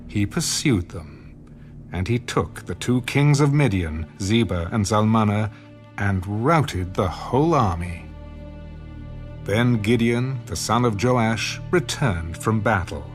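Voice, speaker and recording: A man giving solemn, measured narration of scripture. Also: lowish voice. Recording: loud background music.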